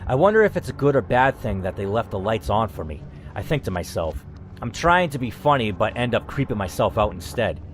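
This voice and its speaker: A man's voice: lowish voice, very animated, a little fast, a little hiss or hum. A young man hosting a gaming channel, reading an interactive story game aloud and breaking off into casual, swearing asides.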